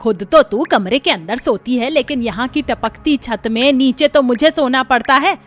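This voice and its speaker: A woman's voice: high-pitched, normal speed, faint music behind. A woman voicing a bitter middle-aged mother-in-law in a cartoon story, scolding and complaining with sarcasm. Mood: sarcastic, nagging. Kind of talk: Hindi cartoon story dubbing.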